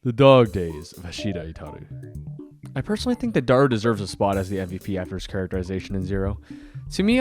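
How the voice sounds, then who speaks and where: A man presents a scripted fan commentary video. His delivery swings widely in pitch, with enthusiastic, dramatic emphasis. Light music plays behind his mid-pitched voice. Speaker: video host and anime fan. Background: background music.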